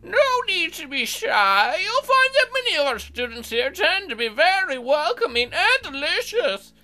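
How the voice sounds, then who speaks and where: A woman doing a high-pitched pompous shark principal. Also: very animated, pushed and bright, exaggerated voice. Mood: grandly welcoming. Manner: pompous, drawn-out, theatrical. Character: pompous, stern hammerhead shark school principal, male.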